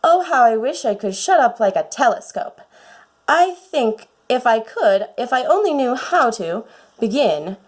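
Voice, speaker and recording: A woman's voice: a little high, very animated, a little slow. A woman voicing a curious, polite little storybook girl who muses to herself in a put-on British accent. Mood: longing. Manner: musing, talking to herself.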